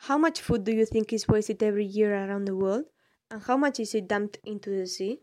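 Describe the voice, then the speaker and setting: Young woman, mellow voice. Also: medium pitch, a little fast, no room sound. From Spain. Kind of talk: reading prepared answers.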